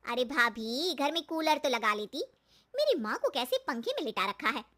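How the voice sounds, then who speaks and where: Woman acting haughty, high-pitched, taunting sister-in-law. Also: big pitch swings, moderate pace, fairly bright tone. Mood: complaining. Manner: mocking, demanding.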